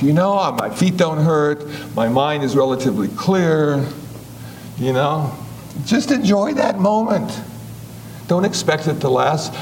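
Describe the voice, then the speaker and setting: A man in his seventies gives a Buddhist talk in a folksy, joking, conversational manner. His voice sits a little high for a man. A faint ambient noise stays under the recording. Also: low-quality recording. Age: 70s. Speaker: Buddhist teacher. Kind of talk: humorous dharma talk.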